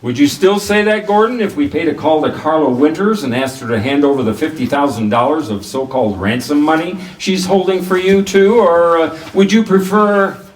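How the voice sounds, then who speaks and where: An actor playing a cool, self-assured private detective in the manner of 1940s radio drama, narrating and sparring wryly. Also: male voice, on the high side, normal speed, few pauses, lightly acted. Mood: confident accusing. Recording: roomy echo, distant from the microphone.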